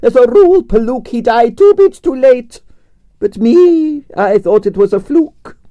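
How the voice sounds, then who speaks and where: A man voicing a flamboyant aging Russian ballerina with a Russian accent and broken English, in a high, swooping, theatrical voice for an audiobook. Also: lively intonation, a little slow, no echo, dull audio. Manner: broken English, grand declarations.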